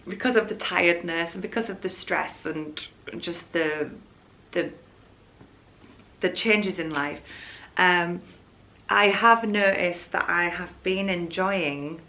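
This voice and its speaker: A woman's voice: on the low side, slow, long pauses, pushed and bright. A young woman with a Yorkshire accent, chatting casually and candidly in a rambling way to her vlog viewers. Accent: Yorkshire English. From Hull, Yorkshire, England. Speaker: vlogger.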